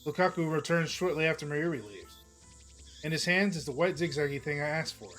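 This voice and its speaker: Hoarse man narrating a game stream. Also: on the high side, fairly quick, a bit bright, soft background music. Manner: casual, chatty, reading aloud with small slips. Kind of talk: narrating a visual novel live.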